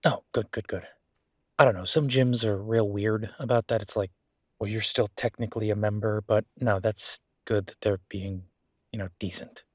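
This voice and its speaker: A man's voice: lowish voice, fairly even intonation, moderate pace, no echo, clean studio sound, close to an everyday voice. A man playing a friendly, playfully vain werewolf boyfriend in a casual roleplay audio, talking in an easygoing, teasing, conversational way. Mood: sympathetic.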